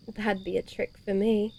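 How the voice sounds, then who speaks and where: A woman's voice: medium pitch, flat delivery, a little slow, vocal fry, a little mellow. A woman playing a bloodthirsty, grumbling female vampire in an audio horror-comedy, speaking dryly and theatrically in old-fashioned phrases. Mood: skeptical, dry.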